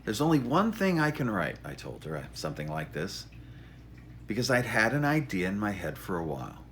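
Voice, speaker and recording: A man's voice: lowish voice, very animated, moderate pace, fairly bright tone, slight background noise. A middle-aged man, an author, telling his story informally in a wry, self-deprecating, stop-and-start way. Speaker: author introducing his memoir. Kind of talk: informal spoken monologue, storytelling.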